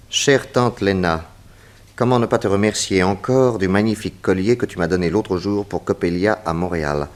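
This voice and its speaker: An actor playing a calm, shrewd detective in a 1960s French radio drama, probing and methodical, politely commanding. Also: male voice, deep voice, close to an everyday voice. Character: calm, shrewd male detective leading an inquiry.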